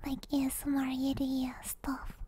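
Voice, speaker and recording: A woman chatting softly and casually to livestream viewers in a breathy, mostly whispered ASMR style, close to the microphone. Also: sits high, measured pace, dry recording. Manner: soft-spoken, relaxed, rambling. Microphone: close binaural ASMR microphone.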